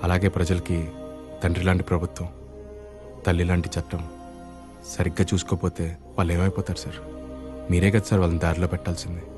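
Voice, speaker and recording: A man's voice: deep voice, monotone, fast, pauses often, close to an everyday voice. An actor playing a resolute young male kidnapper with ideals in film dialogue, speaking in a firm, articulate way over music. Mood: earnest, pleading. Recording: film soundtrack with background music. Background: film score music.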